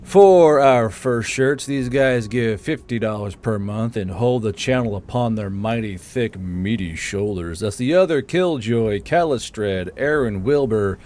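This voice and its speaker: A man's voice: lowish voice, a little slow, few pauses, darkish tone, slight background noise. A man who hosts a story channel, speaking as the narrator of fantasy audiobook tales.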